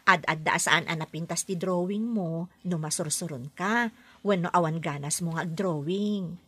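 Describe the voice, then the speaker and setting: A woman playing a patient nanny and housemaid in a radio drama, gentle and politely deferential. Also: fairly low pitch, normal speed, breathy edge.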